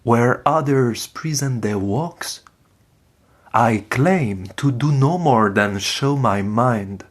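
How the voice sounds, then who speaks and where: Man voicing a solemn French poet, slow and uncompromising. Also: mid-range voice. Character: uncompromising avant-garde French poet reading his manifesto. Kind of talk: dramatic literary recitation.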